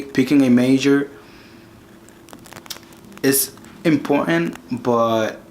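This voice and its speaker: A man from the Dominican Republic with a Dominican Spanish accent, teaching an online course in a casual, halting way that repeats words. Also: medium pitch. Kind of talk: casual advice lesson.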